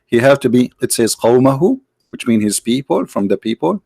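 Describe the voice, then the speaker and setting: A man's voice: medium pitch, big pitch swings, brisk, vocal fry, darkish tone, no echo. A man whose first language is Arabic, hosting a live stream and debating a caller in a pushy, interrupting way, repeating his questions.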